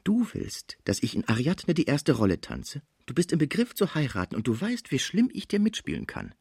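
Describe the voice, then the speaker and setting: Man voicing a cheeky, high-pitched young female dancer. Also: huge pitch swings, moderate pace, creaky, a little mellow, breathy edge, dry recording, studio quality, full character voice. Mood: coaxing. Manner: teasing, declamatory.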